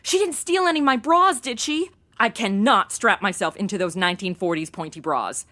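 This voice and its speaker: A woman's voice: medium pitch, expressive, normal speed, bright, forceful tone. An actress playing a brash, ditzy modern young woman in a comedic audio drama, talking in blunt, slangy valley-girl phrases. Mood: sassy, clueless.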